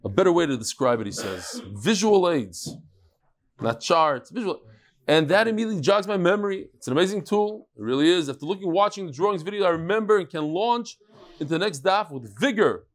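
A middle-aged man leads a daily Talmud class in a large wood-paneled study hall, reading emails aloud. His voice sits a little high, and he throws chatty asides and Hebrew terms to the room around him. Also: expressive, brisk. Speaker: Talmud teacher.

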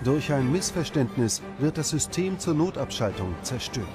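A man reading scripted documentary narration in a measured, dramatic manner. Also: mid-range voice, very animated. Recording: music behind the voice. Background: background music.

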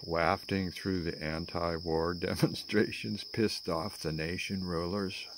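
An American man in his sixties giving a theatrical spoken-word political rant to camera, with dramatic pauses and wry asides. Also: deep voice, steady tone, background noise. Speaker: vlogger. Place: outdoors among greenery. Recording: outdoor ambience with chirping insects.

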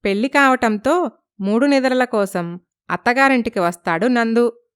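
A woman narrating a scripted story in a clear, smooth voice. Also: fairly high pitch, normal speed, no room sound, studio quality.

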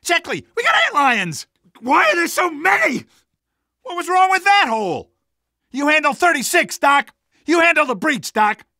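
Jumpy high-pitched tunnel fighter. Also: male voice, sing-song, normal speed, bright, forceful tone, dry recording, studio quality, heavily acted. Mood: frantic shouting. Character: jumpy, wisecracking male resistance fighter defending a tunnel. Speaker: video game minor character. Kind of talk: video game voice acting.

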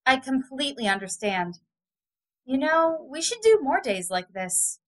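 A woman's voice: sits high, very animated, a little slow, fairly bright tone, heavily acted. A woman playing a supportive, frank, fun-loving friend in an audio drama. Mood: encouraging. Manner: encouraging, frank.

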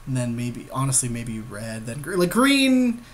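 A man's voice: mid-range voice, big pitch swings, unhurried. A man co-hosting a game session in casual chat, conversational and informal, often adding asides. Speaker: podcast co-host playing a card game.

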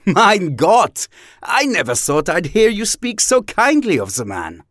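A man's voice: sits high, huge pitch swings, unhurried, a bit bright, no echo, clean studio sound, heavily acted. An actor playing a friendly, teasing young male mutant in an audio drama. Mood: playful.

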